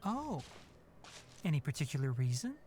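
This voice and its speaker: A man voicing a young, practical forest ranger in an anime video game, instructive and gently sardonic. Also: on the high side, sing-song, normal speed, very noisy. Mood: instructive, dry. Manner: instructive, dry, matter-of-fact.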